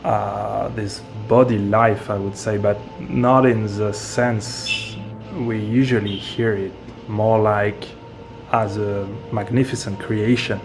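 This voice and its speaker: A man from France, a guest speaking in a slow, hesitant way and searching for his words. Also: on the low side, little pitch movement, vocal fry, music in the background, low-quality recording. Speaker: interview guest, medium and author. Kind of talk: casual interview talk.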